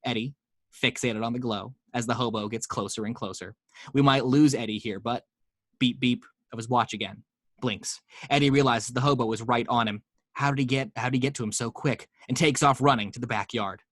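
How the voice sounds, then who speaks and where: A man's voice: medium pitch, fairly quick, fairly bright tone, no room sound. Guy reading stage directions at home, chatty asides, dry close sound. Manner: casual, chatty asides to listeners. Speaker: narrator reading action lines. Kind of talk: amateur table read narration.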